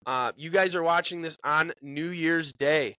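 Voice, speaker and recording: A chatty, upbeat man hosts a casual podcast, his voice stuffed up from a cold. His tone is bright and forceful, and the sound is dry and close, with no room echo. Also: fairly high pitch, unhurried. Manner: upbeat, chatty, repeats words as he talks. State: congested from a cold. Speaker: podcast co-host, bait shop owner. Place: small studio in a bait shop.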